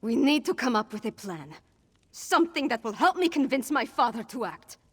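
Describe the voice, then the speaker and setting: Fiery, husky young princess, high-voiced. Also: female voice, big pitch swings, moderate pace, a bit bright, exaggerated voice. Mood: urgent, determined. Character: young Nord princess, fiery, determined and grieving. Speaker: princess giving orders. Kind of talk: video game character acting.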